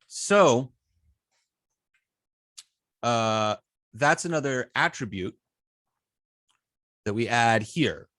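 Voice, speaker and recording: A man giving a live coding tutorial as an instructor, explaining step by step with halting phrasing, frequent ums and self-corrections. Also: mid-range voice, animated, fairly bright tone, no room sound, studio quality. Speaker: web development instructor.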